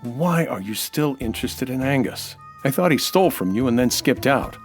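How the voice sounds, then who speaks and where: A man's voice: mid-range voice, animated, normal speed, soft background music, close to an everyday voice. Man playing a wry hardboiled American noir hero. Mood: dry, probing. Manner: dry, laconic, wisecracking. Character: wry, hardboiled American nightclub owner, 1940s detective type. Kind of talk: old-time radio noir narration and dialogue.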